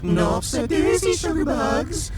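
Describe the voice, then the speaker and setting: A man's voice: very high-pitched, very animated, measured pace, exaggerated voice. A man voicing a giant female alien caterpillar in a sci-fi audio drama, menacing and theatrical, cooing sweet endearments amid threats. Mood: gleeful menace. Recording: loud music behind.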